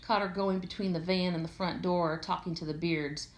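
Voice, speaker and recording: A woman reading a first-person story aloud in an expressive, conversational way with a sardonic, slangy delivery. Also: fairly low pitch, moderate pace. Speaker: audiobook reader. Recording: echoey room.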